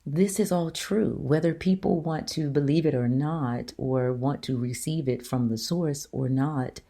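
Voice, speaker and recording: A middle-aged woman with a deep voice hosting her own talk show, speaking earnestly and emphatically to her listeners. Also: vocal fry, mellow. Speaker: show host. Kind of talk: solo opinion talk.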